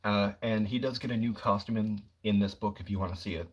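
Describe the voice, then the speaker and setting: A young man talking quietly and casually on a livestream, rambling about comic books with lots of fillers. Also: fairly low pitch, fairly even intonation, a little fast. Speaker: comic book streamer.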